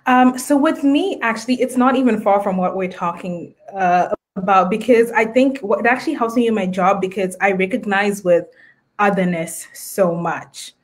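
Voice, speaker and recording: A woman's voice: mid-range voice, moderate pace. A woman from Zimbabwe with a Zimbabwean accent, speaking as a guest in a casual video-call interview, thoughtful with fillers and restarts. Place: indoor room at home.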